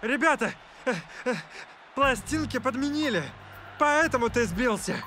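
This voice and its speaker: Cartoon dub: flustered male fan, very high, sharp voice, pleading and hesitant. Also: measured pace, a little hiss or hum, heavily acted. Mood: flustered, earnest. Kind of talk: animated cartoon dubbing.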